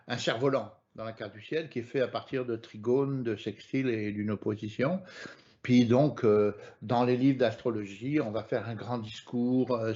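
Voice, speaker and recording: A man's voice: medium pitch, a little mellow, highs cut off. A man from France teaching astrology in a live online lesson, hesitant and often repeating words.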